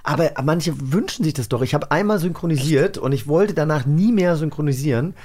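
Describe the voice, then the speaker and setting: A man's voice: a little high, a little fast, a little mellow. A man, an actor, hosting a casual interview show in conversational German. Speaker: host of an audio drama magazine show. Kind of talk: casual studio interview chat.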